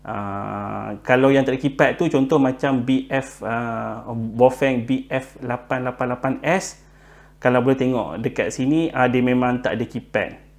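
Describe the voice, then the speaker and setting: A man's voice: mid-range voice, normal speed, vocal fry. Malaysian man giving an informal explainer. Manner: mixes English words into Malay, with many hesitant fillers. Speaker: YouTube channel host giving buying tips.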